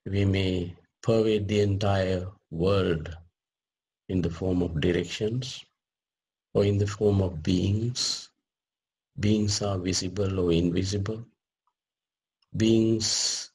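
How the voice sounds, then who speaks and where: A man with a low, husky voice guides a meditation. He speaks very slowly and deliberately, setting out short phrases with pauses, as a teacher instructing a group would. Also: a little mellow, poor audio.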